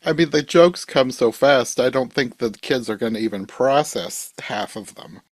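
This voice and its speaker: A man hosts a podcast in a casual, conversational style. His pitch is average for a male voice, and the sound is dry with little room in it. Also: animated, normal speed, no echo. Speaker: podcast host. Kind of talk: casual chat.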